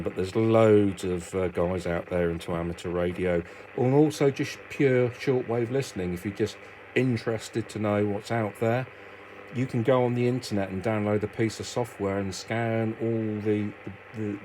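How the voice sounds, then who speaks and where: Low-pitched male voice, British accent, middle-aged, casual explainer, rambling delivery, noticeable background noise. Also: steady tone, moderate pace, darkish tone, low-quality recording. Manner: rambling, informal, thinking aloud. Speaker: amateur radio hobbyist presenter. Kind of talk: casual explanatory hobby talk to camera.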